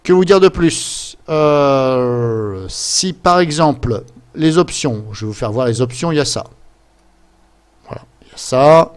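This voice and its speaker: A man's voice: mid-range voice, expressive, unhurried, clear, resonant tone. A man gives a casual, improvised screen-share tutorial, hesitant and rambling as he goes step by step into his computer microphone. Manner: rambling, hesitant, step-by-step, fond of filler words. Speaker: software tutorial presenter.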